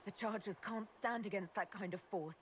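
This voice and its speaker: A woman's voice: mid-range voice, fairly quick, a little hiss or hum, lightly acted. An actress playing a resolute, protective female leader in a video game, firm and decisive. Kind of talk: dramatic video game acting.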